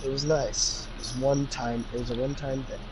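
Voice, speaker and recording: A man's voice: medium pitch, level tone, a little fast. A man reading a story aloud and chatting about it in casual slang, sometimes stumbling over the words, on an amateur recording. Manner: informal, youthful slang, stumbling over the text. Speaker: co-host reading fan fiction aloud. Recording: noisy amateur home recording.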